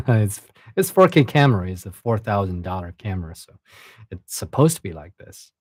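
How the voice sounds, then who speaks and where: Halting man. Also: lowish voice, very animated, darkish tone, slightly rough, no room sound, studio quality. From China. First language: Chinese. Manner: halting, repeats words and restarts. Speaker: livestream host and reader. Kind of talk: casual livestream chat and reading a novel aloud.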